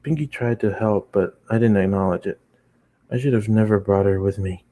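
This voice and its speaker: A man's voice: fairly low pitch, moderate pace, mellow. A man narrating a first-person story as an amateur reader.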